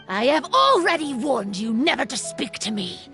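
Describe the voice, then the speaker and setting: A woman's voice: a little high, very animated, slow, music in the background. A woman voicing a haughty, threatening female yokai spirit in human form for a video game.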